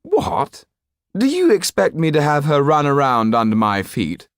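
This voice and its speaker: A man's voice: on the high side, wildly swooping pitch, unhurried, smooth, dry recording, clean studio sound, pitched above the performer's own voice. A man voicing a callous, pompous French marquis in an audiobook, speaking with drawling disdain and dismissiveness. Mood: cold disdain.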